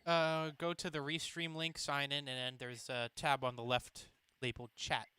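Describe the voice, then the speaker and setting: A man giving a casual, matter-of-fact step-by-step software demonstration on a livestream. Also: medium pitch, flat delivery, moderate pace, clean tone, dry recording. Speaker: participant demonstrating radio software. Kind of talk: casual step-by-step screen-share demo.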